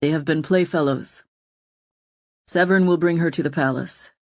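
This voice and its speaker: A woman doing a soft-spoken troubled nobleman. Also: low voice, dry recording, clean studio sound, close to an everyday voice. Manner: soft, measured, rueful. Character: troubled loyal nobleman, gentle and helpless. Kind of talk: audiobook reading.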